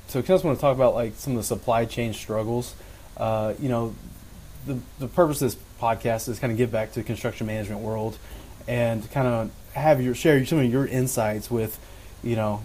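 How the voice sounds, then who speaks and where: A man's voice: medium pitch, a little mellow, slight background noise, rough recording. A man hosting a podcast in a casual, chatty style. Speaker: podcast host.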